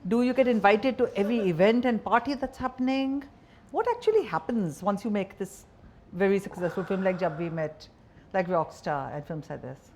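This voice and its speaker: Indian woman interviewer with lively intonation. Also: medium pitch, moderate pace, slight background noise. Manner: asks layered questions, rephrasing as she goes. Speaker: journalist interviewer. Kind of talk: conversational interviewing.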